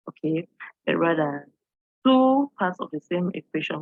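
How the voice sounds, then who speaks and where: A woman's voice: sits low, creaky, no echo, dull audio. A woman from Cameroon, an English teacher, giving an earnest online presentation and posing questions to her audience, with frequent fillers.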